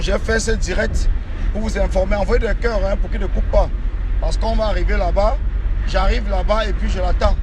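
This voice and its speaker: A man's voice: high voice, background noise. Defiant man streaming from his car. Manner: boastful, defiant, repetitive. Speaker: live streamer. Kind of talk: live stream while driving. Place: inside a car in the city.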